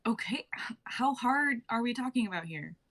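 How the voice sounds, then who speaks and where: A woman's voice: a little high, animated, normal speed, a bit bright. A woman reading the part of a blunt young woman who questions everyone, in an amateur dramatic reading. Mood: doubtful. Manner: skeptical, dry teasing.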